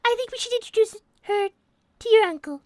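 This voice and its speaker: A woman's voice: extremely high pitch, lively intonation, a little fast, warm tone, no echo, studio quality. A woman voicing a prim, scheming young girl rabbit in live character roleplay, formal, earnest and easily offended. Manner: earnest, formal, indignant and self-righteous.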